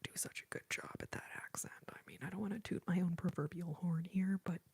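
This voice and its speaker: A woman from Texas in her thirties, whispering softly and breathily as she chats with viewers on an ASMR livestream. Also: lowish voice, steady tone, brisk, creaky. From Austin, Texas. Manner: soft whisper, chatting with viewers, giggly.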